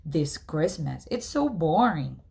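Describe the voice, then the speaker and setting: A woman's voice: low voice, big pitch swings, slow pace, creaky, warm tone, exaggerated voice. Woman voicing a bored, scornful rich schoolboy. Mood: bored, sarcastic.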